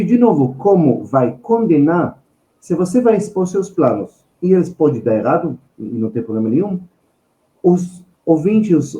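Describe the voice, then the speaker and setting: Male podcast guest with an Israeli accent: mellow tone, emphatic conversational delivery, recorded in a roomy space. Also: on the high side. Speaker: guest finance expert. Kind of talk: conversational podcast storytelling and advice.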